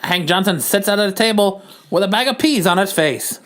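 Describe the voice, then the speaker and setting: A man's voice: high voice, animated, normal speed, fairly bright tone. A man narrating a comic book as a podcast host, theatrical and amused, reading panel descriptions for laughs.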